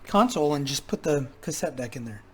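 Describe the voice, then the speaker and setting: A man talking casually and haltingly as he thinks aloud, giving hands-on commentary as a repair vlogger. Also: fairly high pitch, animated, a little hiss or hum. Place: indoor repair workshop.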